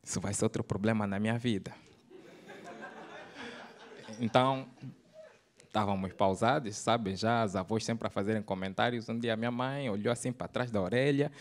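A man in his thirties with an Angolan Portuguese accent, doing a stand-up set on a comedy club stage into a handheld mic, swinging from loud bursts to low slangy asides. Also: steady tone, normal speed, long pauses. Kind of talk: stand-up routine.

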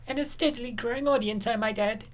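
A woman voicing a brisk, coaxing young woman who eggs on her best friend in a comic dub. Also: fairly high pitch, natural voice.

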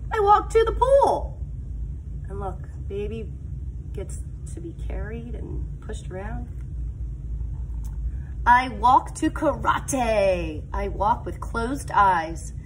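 Animated, high-voiced woman reading to young children. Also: slight background noise, rough recording. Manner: animated, deliberate delivery, stretching words for young children. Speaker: kindergarten teacher. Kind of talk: expressive picture-book read-aloud with asides to children. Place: indoors, school classroom.

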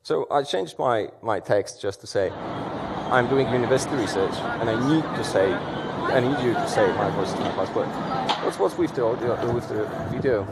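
Man, thirties, relaxed stage presenter who talks through restarts. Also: mid-range voice, moderate pace, very noisy. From Poland. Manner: conversational, many fillers and restarts. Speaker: security conference speaker. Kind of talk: conference talk. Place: large dark auditorium stage.

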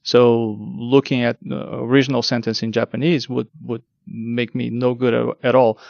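A man's voice: medium pitch, flat delivery, normal speed, creaky, a little mellow, no echo, muffled recording. A man whose first language is Portuguese, explaining technical work as an interview guest, with frequent ums and repeated words. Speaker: guest, translation test lead.

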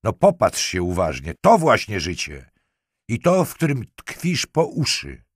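A man's voice: low voice, animated, unhurried, dry recording, clean studio sound, exaggerated voice. A man voicing a stern, sarcastic police lieutenant in an audiobook, harsh and commanding, with threats in his words. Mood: harsh, threatening.

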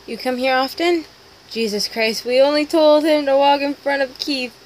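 A woman's voice: sits high, moderate pace. A woman voice acting short comic dialogue as an amateur dub, in a noisy home recording. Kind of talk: amateur dramatic comic dubbing.

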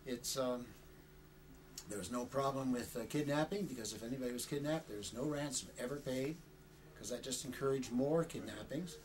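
An older man recounts his past in a halting, rambling way, full of fillers and soft chuckles. His voice sits at an ordinary middle pitch. Faint background hiss comes from an indoor interview setup. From Canada. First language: Chinese. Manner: rambling, hesitant with many uh's, chuckles often. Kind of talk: oral history interview. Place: indoor studio with flag backdrop.

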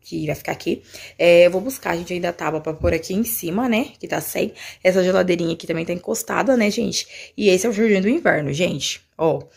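Young woman, Brazilian accent, hoarse, gravelly fry, chatty casual vlogger, echoey small room. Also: fairly low pitch, a little fast, darkish tone. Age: 20s. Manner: chatty, informal. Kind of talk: casual chat to camera. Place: small tiled room at home, indoors.

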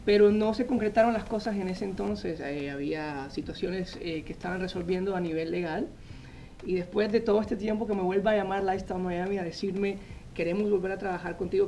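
A man, a magazine editor telling his story as a guest in a TV studio interview, animated and full of "eh" fillers, speaking into a lapel mic. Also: sits high, brisk, vocal fry, slight background noise. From Colombia. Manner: animated storytelling, frequent "eh" fillers, gestures while talking. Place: TV news studio set.

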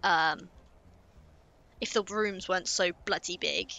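A woman's voice: on the low side, slow pace, gravelly, sharp tone. A young woman, chatting casually to herself while playing a building game on stream. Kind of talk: casual gameplay commentary.

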